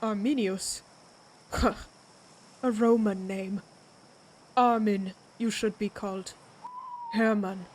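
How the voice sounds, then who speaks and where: A woman playing a proud, menacing vampire warrior in an audio roleplay, speaking deliberately with an imperious, taunting manner. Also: medium pitch, fairly even intonation, a little mellow, a little hiss or hum, low-quality recording. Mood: wry.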